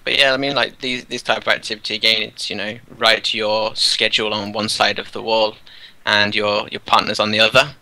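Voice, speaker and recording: A man, a teacher, casually explaining his classroom activities while touring a game world, relaxed and rambling with many fillers. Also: lowish voice, a little fast, hardly any pauses, no echo, rough recording. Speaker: teacher, guest.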